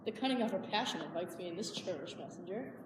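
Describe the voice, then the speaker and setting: A woman's voice: lowish voice, animated, quick pace, lightly acted. A woman performing Shakespearean verse as a young woman disguised as a man, speaking in a wondering, lyrical way. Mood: bewildered. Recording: echoey hall from far off, background noise.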